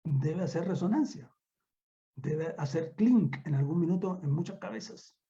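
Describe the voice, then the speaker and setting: A man's voice: fairly high pitch, lively intonation, a little slow, warm tone. An older man with a Chilean accent lecturing off the cuff as a professor, emphatic and repeating words for weight. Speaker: university professor, guest speaker. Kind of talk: extemporaneous online academic lecture. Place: remote online conference call. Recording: dull lo-fi online call audio.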